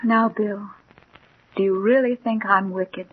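An actress playing a mysterious, composed woman with a measured, beguiling manner in a 1940s radio mystery. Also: medium pitch, fairly even intonation. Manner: measured, enigmatic, gently insistent. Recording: thin, muffled 1940s radio recording.

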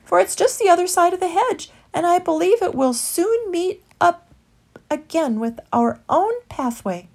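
A woman voicing an eager young male traveler in a storybook, speaking high and loud. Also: lively intonation, a little slow, heavily acted.

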